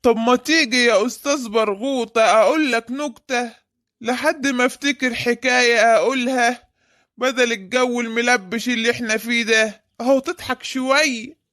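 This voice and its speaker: A man voicing a doddering, kindly old Egyptian grandfather in a comic cartoon, fussy and affectionate, with drawn-out exclamations. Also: very high-pitched, fairly even intonation, sharp tone, dry recording, clean studio sound. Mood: rattled. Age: older.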